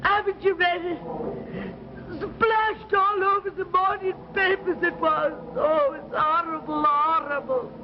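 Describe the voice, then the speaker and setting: An actress playing a distraught, blunt working-class widow who repeats herself plaintively in a 1950s drama. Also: female voice, very high voice, steady tone, a little slow. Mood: grieving. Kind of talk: 1950s anthology drama acting. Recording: muffled, noisy 1950s broadcast recording.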